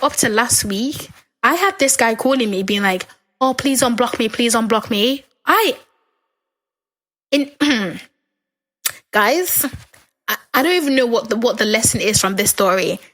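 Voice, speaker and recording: A young woman, hosting her podcast in a casual, animated solo chat and a little hoarse from talking. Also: low-quality recording. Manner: animated, chatty, acts out quoted lines with big pitch jumps. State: slightly hoarse, losing her voice. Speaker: podcast host.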